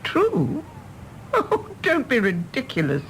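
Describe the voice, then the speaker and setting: An actress playing a wistful, wry middle-aged Englishwoman in a radio play, speaking in a measured, genteel English accent. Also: fairly high pitch, huge pitch swings, exaggerated voice. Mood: wistful, regretful. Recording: faint background noise and ambience.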